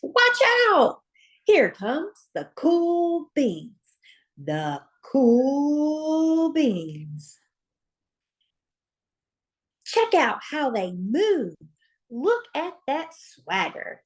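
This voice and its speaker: A woman reading a children's picture book aloud as a storyteller, with dramatic, deliberate phrasing and emphatic pauses. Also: sits high, big pitch swings.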